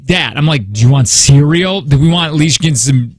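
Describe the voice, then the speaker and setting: Rambling radio host dad, dry studio. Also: male voice, fairly high pitch, lively intonation, normal speed, no echo. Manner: rambling, self-reproachful, many restarts. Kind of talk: casual morning radio chat. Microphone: studio mic.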